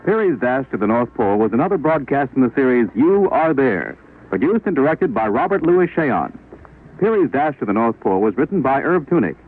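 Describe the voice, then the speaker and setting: Lively-pitched male announcer on tinny old radio. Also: a little fast. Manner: crisp, formal reading. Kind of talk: scripted 1940s radio announcing. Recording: tinny vintage radio recording.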